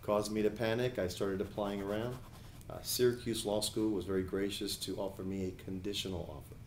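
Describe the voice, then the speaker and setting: A man in his forties from New Jersey, answering questions as an attorney and judicial candidate in an editorial board interview, speaking in a measured way with frequent hesitations. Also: on the low side, level tone, echoey room, slight background noise. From New Jersey, USA. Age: 40s.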